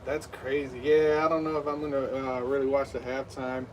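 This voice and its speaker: A man's voice: medium pitch, little pitch movement, normal speed, recorded from a distance, a little hiss or hum. A man in his thirties hosting a live game stream, rambling with lots of fillers and hyped play-by-play. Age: 30s.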